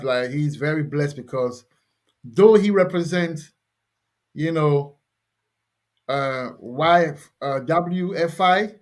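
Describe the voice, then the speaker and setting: A man hosting an online scripture lesson, talking loosely with many fillers and repeats. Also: fairly high pitch. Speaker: host teaching scripture. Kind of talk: casual religious teaching over video call.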